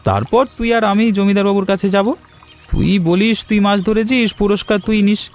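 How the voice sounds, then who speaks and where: A man playing a poor, honest, kind young villager in an animated children's story, gentle and conciliatory in manner. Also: sits high, moderate pace, faint music behind, close to an everyday voice. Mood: gentle pleading.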